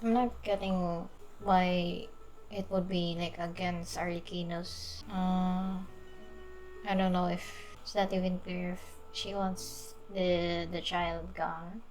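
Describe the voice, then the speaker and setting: A woman streaming a game, giving casual, halting reactions as she thinks aloud. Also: fairly low pitch, little pitch movement, darkish tone, soft background music, poor audio. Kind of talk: casual reaction commentary over gameplay.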